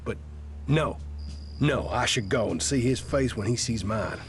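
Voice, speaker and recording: An actor playing a wary former gang outlaw in a video game, rueful, halting and fatalistic. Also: male voice, medium pitch, slight background noise, lightly acted. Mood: troubled, wary. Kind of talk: video game character dialogue.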